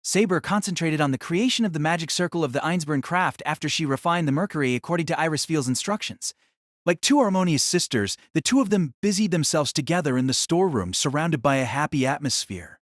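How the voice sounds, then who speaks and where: A man narrates a story from a script as an audio drama narrator. His voice sits a little high, and the recording is dry, without room sound. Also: animated, brisk, dry recording.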